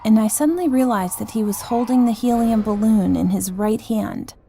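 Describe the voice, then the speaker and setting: Creaky-voiced woman narrating a ghost story. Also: on the high side, moderate pace, warm tone, slight background noise. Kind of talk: scripted narration of a first-person ghost story.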